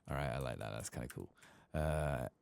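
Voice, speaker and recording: A soft-spoken man hosting an ASMR gaming video, thinking aloud casually in a hushed voice as he plays. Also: rumbling low, creaky.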